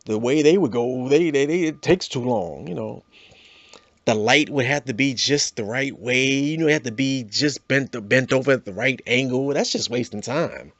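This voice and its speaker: A middle-aged man doing a stand-up comedy monologue, telling stories with character voices and a steady "you know.". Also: medium pitch, moderate pace, vocal fry, no room sound, highs cut off. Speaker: comedian host.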